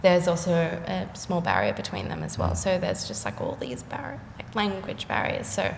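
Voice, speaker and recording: A young woman, an artist chatting casually in an interview, full of fillers and quick laughs. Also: on the low side, big pitch swings, vocal fry. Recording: echoey room with faint noise.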